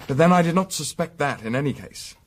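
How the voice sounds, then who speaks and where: An actor playing a composed gentleman investigator in a Victorian radio drama, speaking formally and measuredly. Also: a little high, animated, a little fast, a little hiss or hum, natural voice. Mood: measured, pointed. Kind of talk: period radio drama acting.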